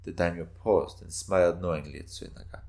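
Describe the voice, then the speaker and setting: A man's voice: sits low, fairly even intonation, a little fast, vocal fry, a little mellow. A man reading scripted story narration in a dramatic style.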